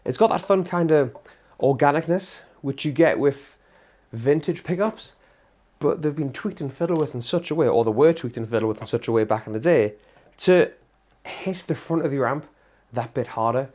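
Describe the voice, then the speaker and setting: A man's voice: fairly high pitch, expressive, moderate pace, darkish tone. A man hosting a guitar channel who talks casually and eagerly to camera, laughing now and then, his voice worn out from losing it all week. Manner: enthusiastic, chatty, laughs often. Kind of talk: casual demo talk to camera.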